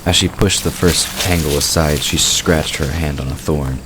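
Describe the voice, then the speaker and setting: A man's voice: very deep voice, fairly even intonation, moderate pace. A man reading scripted third-person narration for a fantasy audio drama. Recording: clear background noise of night crickets.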